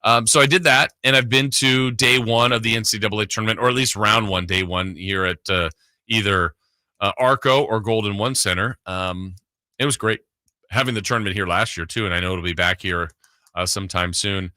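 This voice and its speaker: Bright, forceful man hosting sports talk. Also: lowish voice, fairly even intonation, a little fast, no echo, studio quality. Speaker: sports radio host.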